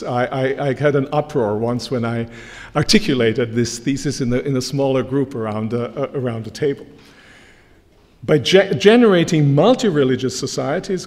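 A man's voice: medium pitch, a little mellow. Man lecturing in a reverberant hall. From Croatia. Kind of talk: academic lecture. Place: large lecture hall, standing at a lectern. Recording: long hall reverb from lectern mic.

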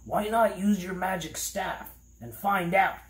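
Storybook talking dog played by a man: blunt, questioning, pitched well up, roomy recording. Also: animated, measured pace. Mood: challenging.

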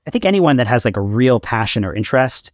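A man in his thirties from Canada, a podcast guest, talking in a thoughtful, halting way with many fillers over a video call with headphones at home. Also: mid-range voice, big pitch swings, no echo, studio quality. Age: 30s. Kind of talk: casual interview talk. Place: home room with shelves of CDs.

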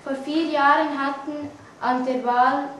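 Young female student newsreader, Swiss German accent, slow halting delivery, very reverberant classroom. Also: high-pitched, fairly even intonation, a bit bright. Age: teenager or young adult. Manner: halting, stumbling reading. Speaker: student news reader. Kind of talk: scripted news reading. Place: classroom, indoors. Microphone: camera mic at a distance. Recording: echoey room, distant mic, faint noise.

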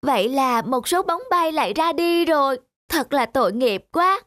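A woman's voice: high voice, lively intonation, normal speed, fairly bright tone. A woman voicing an opinionated, pitying shop toy in a cartoon. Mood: sorry. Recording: music behind the voice.

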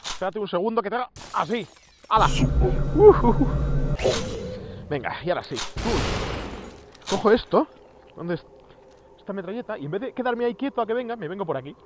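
A man in his thirties with a Spanish accent from Spain, giving excited commentary while playing a virtual reality game outdoors, exclaiming and laughing at his viewers. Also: high voice, a little fast, pauses often, noisy background. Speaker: VR gaming youtuber. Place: outdoors on an empty road at dusk. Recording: music under voice. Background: background music.

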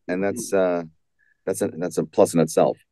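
A man speaking as an author guest in an interview, conversational and emphatic, with deliberate phrasing. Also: sits low, fairly even intonation, mellow, dry recording, studio quality.

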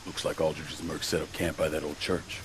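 A man's voice: very deep voice, little pitch movement, brisk, background noise. A man playing a terse, tough soldier turned gangster in a video game, dry and determined.

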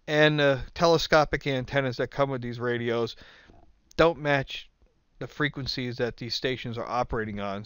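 A man's voice: mid-range voice, fairly bright tone, smooth, dry recording. A man narrating an instructional video in a plain-spoken, methodical, informal way. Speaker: host of an instructional video channel. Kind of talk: explanatory slide narration, informal.

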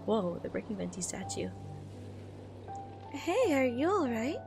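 High-pitched, excitable woman streamer. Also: unhurried. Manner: excitable, exclamatory, swearing freely. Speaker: streamer reacting to and reading a manga aloud. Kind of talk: animated live reaction and dramatic read-aloud. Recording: music playing behind voice. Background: music.

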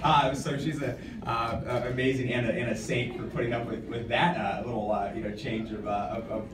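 An American man in his thirties giving an informal, halting acceptance speech at a podium, often repeating words as he goes. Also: medium pitch, level tone. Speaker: award recipient and nonprofit director giving an acceptance speech. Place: hotel function room, indoors at a podium. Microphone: podium microphone, captured by a camera in the audience. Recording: distant, echoey room with clear background noise.

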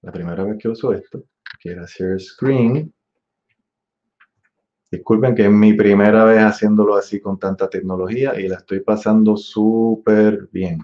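Puerto Rican trumpet teacher, 40s, low-voiced, chatty; sings rhythms; muffled webcam audio. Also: male voice, fairly even intonation, moderate pace. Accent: Puerto Rican Spanish. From Puerto Rico. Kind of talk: online masterclass. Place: room with green wall. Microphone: webcam at desk.

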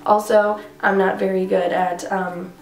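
A woman's voice: mid-range voice, flat delivery, measured pace. A young woman in her twenties, congested, chatting casually to camera at home about workouts, rambling with lots of fillers. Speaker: fitness vlogger. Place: living room at home. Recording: echoey room.

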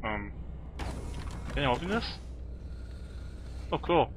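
A man's voice: mid-range voice, sing-song, slow, noisy background. A man giving casual let's play commentary as host, with offhand asides to the game. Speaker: gaming video host.

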